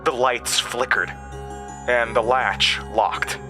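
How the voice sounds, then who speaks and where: A man narrating a first-person horror story in a deliberate, halting manner with dramatic pauses. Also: medium pitch, fairly even intonation, music in the background.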